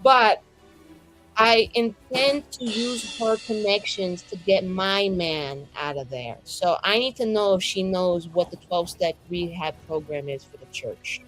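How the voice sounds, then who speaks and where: A woman's voice: fairly low pitch, unhurried, a bit bright, faint music behind, poor audio. A woman improvising a flippant, dramatic, self-deprecating adventurer in a tabletop roleplaying game. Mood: defensive, joking.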